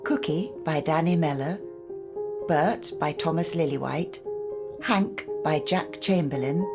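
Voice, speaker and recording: A woman announcer reading scripted credits for an audio play. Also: low voice. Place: close voice recording with music behind.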